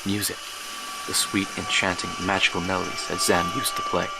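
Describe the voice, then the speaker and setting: A gravelly brooding scholar in a horror audio drama, haunted and obsessive, noisy droning background. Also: male voice, low voice, level tone. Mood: urgent wonder. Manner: brooding, measured, literary phrasing. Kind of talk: dramatic first-person horror monologue.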